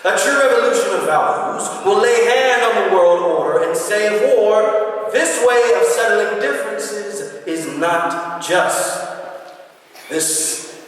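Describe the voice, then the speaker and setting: A man giving a dramatic reading of a speech, solemn and oratorical, with deliberate phrasing. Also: high-pitched, expressive. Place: large indoor hall, public event. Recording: echoey hall, distant microphone.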